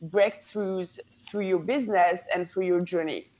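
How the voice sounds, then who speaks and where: A woman's voice: on the low side, steady tone, a little slow, a little mellow, low-quality recording. Woman, young adult, French accent, branding coach guest, upbeat, chatty, filler-heavy. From France. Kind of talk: podcast interview.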